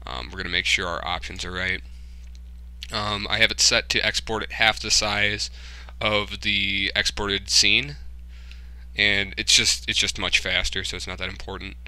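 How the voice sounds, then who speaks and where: Casual male tutorial host, low-pitched with a very crisp, forward edge, informal and muttering through each step. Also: fairly even intonation, moderate pace, pushed and bright, poor audio. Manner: informal, muttering to himself while working. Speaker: tutorial host, hobbyist game developer. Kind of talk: casual screen-recorded software tutorial, thinking aloud.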